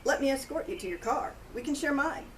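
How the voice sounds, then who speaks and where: A woman voicing a pushy, over-attentive gentleman in a mystery story reading. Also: sits high, expressive, a little fast, pitched above the performer's own voice. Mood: insistent. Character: insistent, over-attentive mustached club man. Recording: distant mic, loud outdoor noise.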